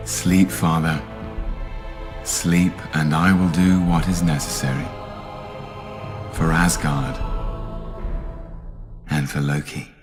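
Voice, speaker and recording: Low-voiced, sly trickster prince. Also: male voice, level tone, slow pace, pauses often, music in the background. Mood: quietly scheming. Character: sly, scheming trickster prince, smooth and calculating. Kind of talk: dramatic video game cutscene acting.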